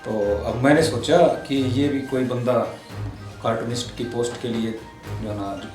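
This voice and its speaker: Guest, middle-aged man, average pitch, anecdotal delivery, echoey sound over music. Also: unhurried, darkish tone. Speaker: interview guest, cartoonist. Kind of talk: conversational personal storytelling. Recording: roomy echo, music underneath.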